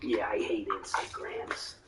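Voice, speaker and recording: Rambling young man streamer, going hoarse. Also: lowish voice, flat delivery, slow pace, very noisy. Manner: rambling, ranting, swears freely. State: voice getting hoarse. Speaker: gaming streamer. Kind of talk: rambling live-stream chat.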